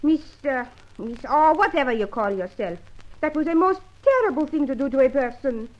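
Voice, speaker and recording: Flirtatious Frenchwoman, very animated, broken English, theatrical, outspoken, dull vintage radio sound. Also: high voice, moderate pace. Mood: indignant. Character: flirtatious, tipsy, outspoken Frenchwoman, a nightclub dancer. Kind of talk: old-time radio adventure serial acting. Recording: muffled vintage radio recording.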